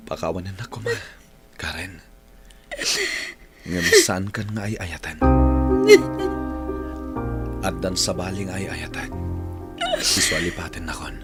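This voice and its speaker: Casting: remorseful young boyfriend, deep subdued voice, Ilocano Filipino accent, radio drama with music clearly underneath. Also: male voice, fairly even intonation, takes it slowly, pauses often. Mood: regretful, apologetic. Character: apologetic young boyfriend ending a relationship, subdued.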